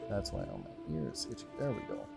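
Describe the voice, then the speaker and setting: A man's voice: a bass voice, wildly swooping pitch, fast, gravelly, over music. A man giving casual playthrough commentary, laid-back, muttering asides and swearing freely. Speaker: gameplay streamer.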